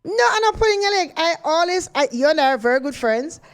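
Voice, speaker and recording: Chatty higher-voiced radio hostess. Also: female voice, animated, fast. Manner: chatty, repeats words while searching. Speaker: radio host. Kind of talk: radio interview.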